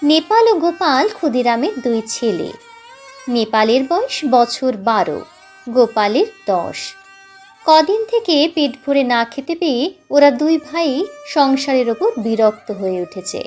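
Slow, high-voiced woman narrating a story. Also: very animated, darkish tone. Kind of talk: scripted dramatic story narration. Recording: music playing behind the voice.

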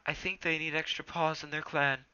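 A man's voice: a little high, little pitch movement, normal speed, sharp tone, clear, resonant tone, highs cut off, voice pushed up. A man voicing a restless, determined young male pet cat in a storybook, earnest and resolute.

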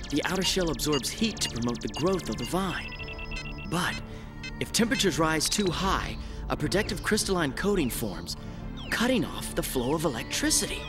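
An earnest young man in a sci-fi cartoon, troubled and intense. His voice swings widely in pitch, with music playing clearly behind him. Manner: intense, dramatic. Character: earnest, troubled young male space cadet. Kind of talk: dramatic anime dub acting. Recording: music bed behind the dialogue.

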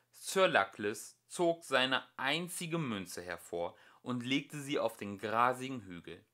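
A man reading a fairy tale aloud as an amateur audiobook narrator, with expressive storytelling. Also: mid-range voice.

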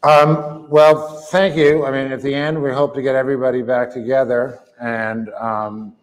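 A man's voice: mid-range voice, level tone, moderate pace, rough recording. An older man hosting a webinar on a video call, speaking in a friendly way and tripping over his words now and then. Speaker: webinar host. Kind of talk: casual moderating.

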